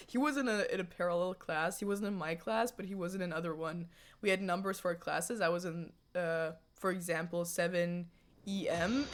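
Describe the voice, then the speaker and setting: A woman in her twenties chatting on a livestream from a home room into a desk condenser mic. She answers viewer chat casually and laughs often. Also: on the low side. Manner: giggly, laughs often, jokey and animated. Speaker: streamer. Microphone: USB condenser desk mic.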